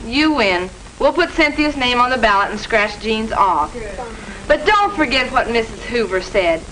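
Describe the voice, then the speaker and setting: A woman's voice: on the high side, animated, moderate pace, crowd noise, close to an everyday voice. An actress playing a sensible, reluctant schoolgirl who chairs a club in an old educational film. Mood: resigned, firm. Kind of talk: 1950s educational film acting. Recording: distant, noisy 1950s film soundtrack.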